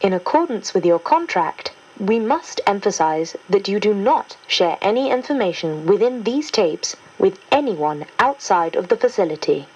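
A woman playing a formal, impersonal agency instructor, reading scripted instructions in a measured, official way on a distant cassette recording. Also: medium pitch, sing-song, darkish tone, husky. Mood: formal, instructive. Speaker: instructional announcer. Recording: muffled, distant cassette tape.

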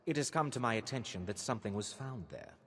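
An actor playing a haughty, suspicious elf mage advisor in a video game, speaking in an imperious, clipped, condescending way. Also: male voice, mid-range voice, fast talker, a bit bright, close to an everyday voice. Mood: demanding, suspicious. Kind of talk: video game dialogue acting. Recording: long hall reverb.

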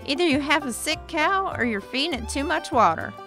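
A woman acts out a complaining Old West customer in an audio drama. Her suspicious voice is high and lively with a creaky edge, set over clear music. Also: fairly quick. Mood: suspicious complaint.

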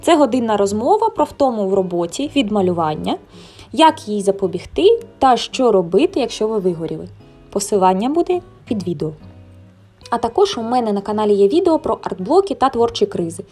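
A woman's voice: mid-range voice, big pitch swings, fairly quick, dark tone, soft background music. A young woman narrating a personal vlog in a candid, colloquial, conversational way. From Ukraine. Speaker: illustrator and art vlogger.

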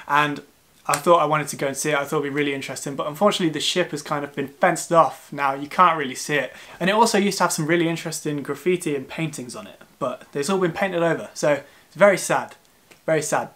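A man's voice: a little high, a bit bright. A young man in his twenties talking casually to the camera from his living room sofa, chatty and self-deprecating. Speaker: travel vlogger. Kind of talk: casual travel vlog talk.

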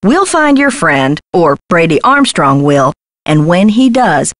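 Scheming female talent agent, lower-pitched, slangy, dismissive, brash, video game dialogue, close dry recording. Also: big pitch swings, slow pace, no room sound. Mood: scheming, enthusiastic. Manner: slangy, glib, condescending pet names.

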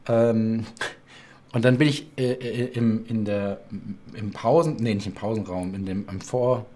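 A man in his forties hosting an interview over a video call from a home studio, chatty and lively when he tells anecdotes. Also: fairly low pitch, a little slow. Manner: chatty storyteller, animated when telling anecdotes. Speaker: talk show host. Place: home studio room with bookshelves and colored lights.

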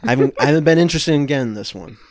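A man's voice: mid-range voice, animated, very fast, darkish tone, dry recording. A man in his twenties co-hosting a podcast in casual chat from a room at home. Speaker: podcast co-host. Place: small room at home on a video call.

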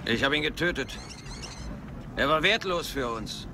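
An actor playing a bold, bluffing pirate captain in a film dub, emphatic in delivery. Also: male voice, medium pitch, lively intonation, a little slow. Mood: defiant, sly. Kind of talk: dubbed film acting. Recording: noisy outdoor film soundtrack.

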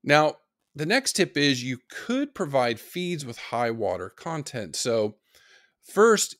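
Man giving a lively horse-care lecture. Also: medium pitch, no echo, studio quality. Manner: practical, advice-giving explanation. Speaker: equine nutrition lecturer. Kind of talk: online course lecture.

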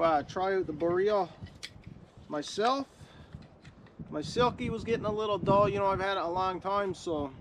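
A middle-aged man from Newfoundland, Canada, chatting casually and easygoing in a sunny forest, picked up by a camera a few metres away. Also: high voice. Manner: rambling, easygoing, stumbles and restarts often. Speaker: bushcraft vlogger. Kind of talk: casual outdoor vlog talk. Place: outdoors in a sunny forest by a lake. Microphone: camera on a tripod a few metres away. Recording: outdoor camera audio with clear background noise.

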